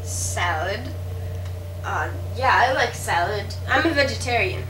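A woman's voice: mid-range voice, animated, measured pace, over music, lightly acted. A young woman playing a nervous, defensive woman answering a creepy call in a horror spoof. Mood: uneasy. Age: young adult. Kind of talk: horror spoof film acting. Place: small home kitchen. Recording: distant, echoey, noisy home-camcorder audio.

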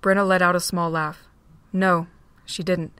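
A woman narrates a fan-made audio drama with a steady, plain delivery. Her voice sits low, recorded dry and close with no room around it. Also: unhurried, clean tone, dry recording.

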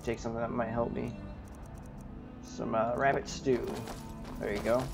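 A man's voice: medium pitch, level tone, moderate pace, gravelly. A man giving in-character gameplay commentary as he roleplays an adventurer in a video game. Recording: voice over game music. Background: video game music.

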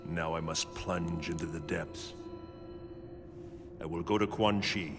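Grave, low-voiced thunder god. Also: male voice, fairly even intonation, over loud music. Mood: grave, sorrowful. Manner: grave, formal, measured speech. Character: solemn, pleading male thunder god and protector. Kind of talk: dramatic video game voice acting.

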